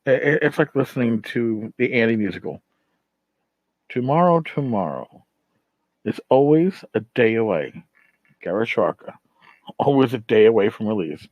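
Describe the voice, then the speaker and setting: A man's voice: mid-range voice, big pitch swings, moderate pace, long pauses, dry recording, dull audio. A middle-aged man hosting a gaming podcast, rambling through a casual rant in a blunt, profane, exasperated manner. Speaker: tabletop gaming podcast host. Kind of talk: casual ranting monologue.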